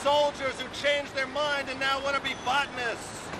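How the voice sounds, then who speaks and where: A hoarse man giving a deadpan mock campaign speech outdoors on a city street. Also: very high voice, moderate pace. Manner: deadpan comic oratory, chanting slogans. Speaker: mock presidential candidate. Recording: loud crowd and street noise.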